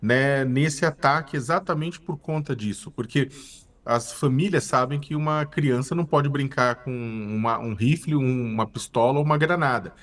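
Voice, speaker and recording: A man's voice: medium pitch, normal speed, fairly bright tone, far from the mic. A Brazilian man speaking conversationally as a commentator and interviewer in a live news discussion.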